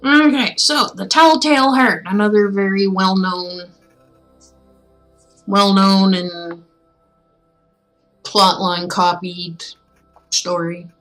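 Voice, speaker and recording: Slow-paced casual woman streamer. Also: medium pitch, a bit bright, soft background music, poor audio. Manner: rambling, musing aloud, informal. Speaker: streamer reading stories aloud. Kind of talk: casual livestream chat.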